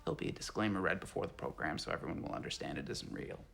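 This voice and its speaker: A man's voice: low-pitched, very fast, vocal fry, a bit bright, exaggerated voice. An actor playing a reassuring, persuasive male colleague in a period drama, speaking in a coaxing way. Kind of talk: television period drama acting.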